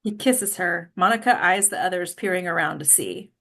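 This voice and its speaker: A woman's voice: on the low side, normal speed, a bit bright. A woman reading stage directions as the scripted narrator of a table read.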